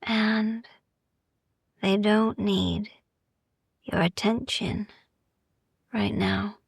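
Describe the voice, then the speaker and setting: A woman guiding a sleep meditation in a soft, gentle, soothing ASMR style, hushed and often slipping into whispers, with long pauses. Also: mid-range voice, steady tone, gravelly, slightly rough, dry recording, studio quality. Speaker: sleep meditation podcast host.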